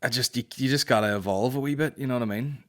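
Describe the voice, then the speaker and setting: A man's voice: medium pitch, fast, fairly bright tone. A man in his early thirties with a Northern Irish accent, hosting a comedy podcast with blunt, sweary casual chat into a close studio mic. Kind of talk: casual comic chat, answering listener questions. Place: small indoor podcast studio. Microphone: close studio microphone on boom arm.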